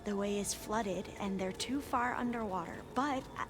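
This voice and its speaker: An actress voicing a cautious, scholarly young woman researcher in a video game, explaining things politely and deliberately over game music. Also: medium pitch, natural voice.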